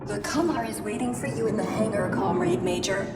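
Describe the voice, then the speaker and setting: A woman's voice: medium pitch, fairly quick, close to an everyday voice. A woman voicing a courteous, formal female assistant in a video game. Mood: polite, caring. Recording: echoey long reverb, loud music.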